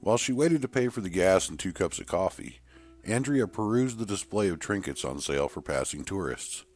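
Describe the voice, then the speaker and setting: A man with a very low voice narrates fiction in a dramatic storytelling style. His pitch moves around a great deal as he reads, and music plays faintly behind him. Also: expressive, fairly quick, a little mellow.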